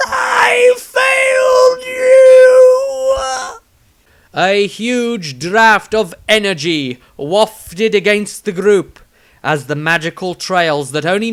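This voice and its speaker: A male narrator with a bright, forceful voice set a little high, reading fantasy fiction. His delivery is scripted and dramatic, leaning on words with theatrical, deliberate emphasis. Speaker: author reading own fantasy story.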